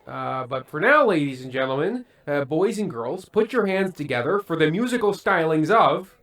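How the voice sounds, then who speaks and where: A man playing a hesitant, uneasy old-fashioned TV variety host with halting, folksy patter, in the style of an old-time radio play. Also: a little high, lively intonation, fairly bright tone. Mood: folksy, awkward showman.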